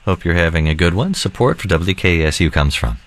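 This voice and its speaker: A man working as a local radio station announcer, giving continuity and weather in a relaxed, friendly way. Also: sits low, brisk, no echo, studio quality.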